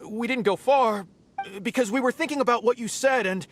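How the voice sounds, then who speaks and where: An actor playing a humbled small-time bandit leader in a video game, nervous, deferential, apologetic and eager. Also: male voice, very high voice, a little fast, slight background noise. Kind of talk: scripted game dialogue. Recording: studio voice over game music. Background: game background music.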